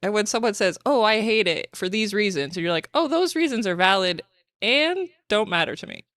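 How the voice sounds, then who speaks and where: A woman with a Canadian accent hosts a casual podcast chat, telling stories and acting out what people said in lively bursts. Her voice sits at an ordinary middle pitch. The sound is close and dry, with no room around it. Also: fairly quick, no echo. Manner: chatty, laughs often, acts out quotes. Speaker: podcast host.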